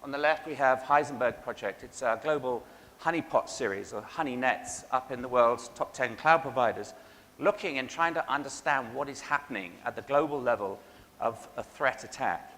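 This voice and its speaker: An Englishman gives a conference keynote in a measured way, with frequent ums and small restarts. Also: mid-range voice, a bit bright. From England. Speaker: keynote speaker. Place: large conference hall. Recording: long hall reverb, faint background noise.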